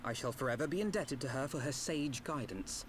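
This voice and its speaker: A man's voice: medium pitch, expressive, brisk, slight background noise, close to an everyday voice. A man playing an earnest young prodigy scholar in a fantasy video game, stiffly courteous and wordy. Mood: flustered, polite. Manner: formal, wordy, archaic phrasing.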